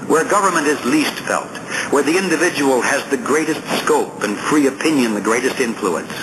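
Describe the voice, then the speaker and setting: A male audiobook narrator delivers a scripted essay at a medium pitch. His reading is deliberate and oratorical, with firm emphasis on key words. Clear noise sits behind the voice throughout the recording.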